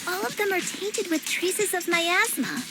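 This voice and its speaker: A woman's voice: very high-pitched, lively intonation, unhurried, background noise. A woman voicing a small young tiger girl warrior in a video game, earnest and alert. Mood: wary, serious. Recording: music behind the voice.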